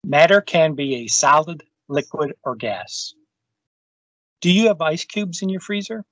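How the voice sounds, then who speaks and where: A man's voice: on the high side, animated, a little slow, a bit bright, no room sound. A man reading a children's science book aloud over a video call. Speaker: storytime reader. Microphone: video call microphone. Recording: dull, lo-fi video call audio.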